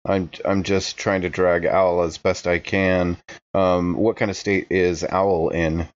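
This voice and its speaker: A man's voice: deep voice, level tone, slow pace, no echo, lo-fi sound. A man playing a tabletop game, talking casually through his character's moves.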